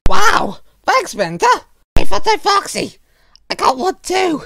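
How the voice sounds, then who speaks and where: An excitable little animatronic, voiced by a woman, with a very creaky voice that swoops up and down. The delivery is childlike and cartoonish. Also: very high voice, unhurried, full character voice. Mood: excited, chatty. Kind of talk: cartoonish comic dub acting.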